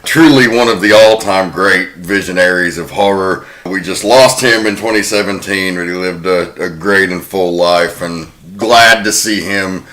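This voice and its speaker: A man's voice: low-pitched, measured pace, hardly any pauses, a bit bright. A man hosting a horror film podcast, chatting casually and narrating with a wry, laid-back manner. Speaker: podcast host. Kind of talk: casual chat and comic narration.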